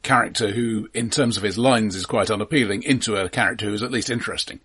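Husky, lowish man, halting delivery. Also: fairly bright tone. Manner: halting, repeats words while thinking. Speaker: film podcast co-host. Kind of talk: casual analytical discussion.

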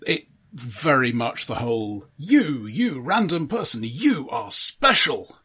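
Husky man analysing films. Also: medium pitch, very animated, takes it slowly, pushed and bright. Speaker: podcast co-host. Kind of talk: casual film discussion.

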